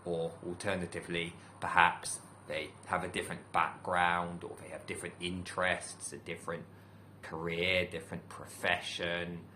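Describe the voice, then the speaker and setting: A man from the UK, a teacher, giving a calm, thoughtful talk to camera in a measured way with many pauses. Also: low-pitched, slight background noise. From United Kingdom. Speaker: teacher and channel host. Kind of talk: reflective solo talk to camera.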